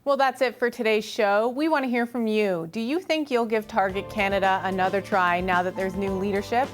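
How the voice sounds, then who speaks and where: A woman hosting a web news show, reading crisp scripted links and chatting conversationally with a guest on a studio mic. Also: mid-range voice, fairly quick, faint music behind. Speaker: host.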